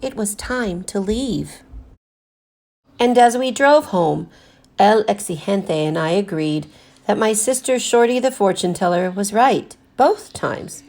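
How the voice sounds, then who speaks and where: Woman reading a children's storybook aloud. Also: medium pitch. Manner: animated delivery with deliberate emphasis for children. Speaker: teacher reading a picture book aloud to students.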